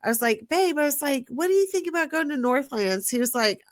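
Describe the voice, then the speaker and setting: A woman's voice: high-pitched, expressive, brisk, dry recording, clean studio sound. Gushing, rambling woman host. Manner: gushing, emotional, rambling with many likes. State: sniffly from crying. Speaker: podcast host. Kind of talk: casual chat.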